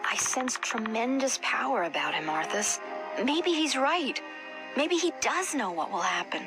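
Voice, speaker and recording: A gentle but troubled young woman speaks with a highly expressive, earnest voice. She sounds pleading, in video game style, beneath very loud music. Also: unhurried. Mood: earnest concern. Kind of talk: video game cutscene voice acting.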